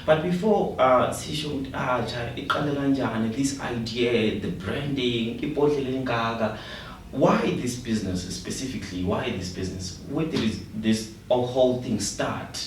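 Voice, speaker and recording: A man's voice: mid-range voice, moderate pace, slight background noise. A South African man hosting a podcast, asking questions in a friendly way and switching between Zulu and English. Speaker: podcast host. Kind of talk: casual interview. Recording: echoey room, mic far off.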